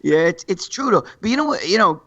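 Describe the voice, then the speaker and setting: High, bright-voiced blunt New man. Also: very animated, quick pace. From New York. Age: late 50s. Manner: blunt, profane storytelling. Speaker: podcast guest. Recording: slightly muffled lo-fi sound.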